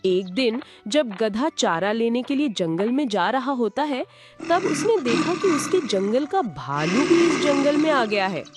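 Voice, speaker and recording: A woman narrating a children's cartoon story with a lively, swooping delivery and a raised voice. Clear music plays under her words. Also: on the high side, measured pace.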